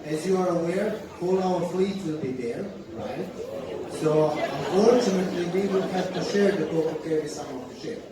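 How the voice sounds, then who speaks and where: A man's voice: fairly high pitch, normal speed, a loud crowd. A man, a ship's captain, giving a chatty, joking speech to crew on stage, often asking "right?". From Croatia. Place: large theater hall on a cruise ship. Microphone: handheld, filmed from far in the audience. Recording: echoey hall from far off, noisy.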